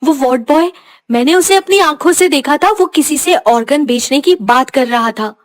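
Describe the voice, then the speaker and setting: A woman's voice: sits high, animated, moderate pace. A woman voicing a frightened but defiant young heroine in a horror cartoon, earnest and indignant. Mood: anxious.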